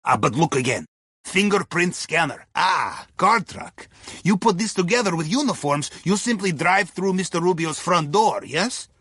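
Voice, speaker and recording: A man's voice: sits high, expressive, normal speed, fairly bright tone, husky, dry recording, clean studio sound, heavily acted. An actor playing a shrewd, genial Russian submarine crewman in a video game, guiding missions in clipped, dryly conspiratorial phrases with a Russian accent. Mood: dryly playful. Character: shrewd, genial male Russian submarine captain's aide and heist planner. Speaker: mission guide over comms.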